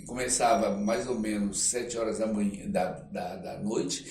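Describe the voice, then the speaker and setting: An older man with a Brazilian Portuguese accent tells stories as an interview guest, in a halting way, repeating phrases for emphasis. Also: on the low side, fairly even intonation, darkish tone, distant mic. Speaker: podcast guest, stage performer. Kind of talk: conversational storytelling interview. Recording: roomy echo, off-mic, faint noise.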